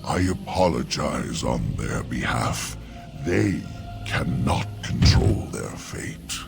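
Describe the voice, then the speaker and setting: An actor voicing an ancient, courteous, mournful talking tree in a fantasy game, with a deep creaky voice and solemn, archaic rhyming verse. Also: male voice, expressive, slow, loud music behind the voice, heavily acted.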